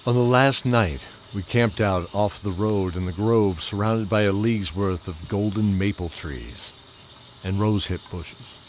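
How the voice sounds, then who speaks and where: A man playing a brooding, low-voiced old knight. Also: slight background noise, lightly acted. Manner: measured, reflective, dramatic storytelling. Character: brooding old bearded knight telling his tale, ashamed. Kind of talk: first-person audio drama narration.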